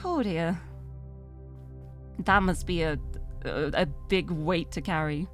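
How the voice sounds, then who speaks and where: A woman's voice: lowish voice, takes it slowly, fairly bright tone, soft background music. A young British woman from the UK, chatting in a rambling, self-deprecating way while streaming a game. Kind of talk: casual chat while gaming live.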